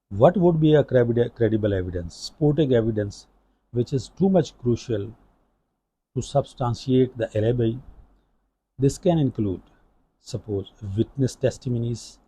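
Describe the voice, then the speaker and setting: Pakistani man lecturing, dark husky voice. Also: medium pitch, no room sound. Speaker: law lecturer. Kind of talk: legal lecture, explanatory.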